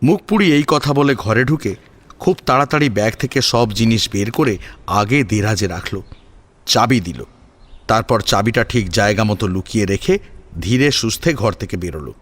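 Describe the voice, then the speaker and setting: A man reading a scripted audio story as narrator, with expressive, dramatic phrasing. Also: lowish voice, normal speed. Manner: expressive, rhetorical. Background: music.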